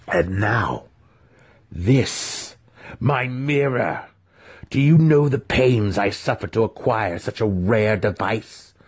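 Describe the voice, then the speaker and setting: A man voicing a disfigured, cruel dark-elf wizard master who growls, snarls and drags out his taunts in a fantasy audiobook. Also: on the low side, animated, slow pace, husky, pitched above the performer's own voice, heavily acted. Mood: furious. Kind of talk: dramatic fantasy audiobook acting.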